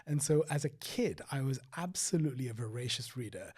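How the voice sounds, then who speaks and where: A middle-aged man from Sri Lanka, talking reflectively as an interview guest, with frequent restarts and soft trailing phrases. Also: medium pitch, lively intonation, fairly quick, breathy edge. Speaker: guest, former paediatrician. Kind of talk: conversational interview.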